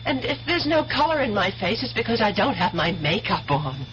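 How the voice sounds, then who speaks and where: An actress playing an anxious, defensive newlywed younger sister in a 1970s radio drama, flustered, emotional and pleading. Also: female voice, medium pitch, very animated, moderate pace, a bit bright. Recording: muffled 1970s radio broadcast, faint hiss.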